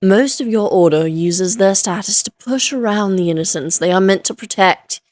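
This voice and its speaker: A woman's voice: mid-range voice, normal speed, a little mellow, no echo, close to an everyday voice. A woman voicing an ancient, amused and self-assured female vampire who taunts the listener in formal, theatrical phrases, in a Victorian fantasy audio roleplay. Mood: taunting, conspiratorial.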